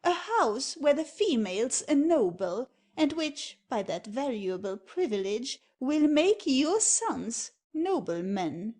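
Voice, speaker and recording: Snooty noblewoman, high voice, really drawn out, imperious, audio play comedy. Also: big pitch swings, slow pace, few pauses, a little mellow, heavily acted. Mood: proud. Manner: imperious, affronted, grandly declamatory. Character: haughty noblewoman, proud strict mother-in-law.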